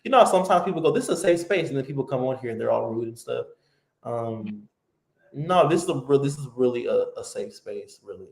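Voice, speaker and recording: A man hosting a casual live-stream chat, relaxed and talkative with lots of fillers. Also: mid-range voice, brisk, gravelly, roomy sound. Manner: relaxed, chatty, many fillers. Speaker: host.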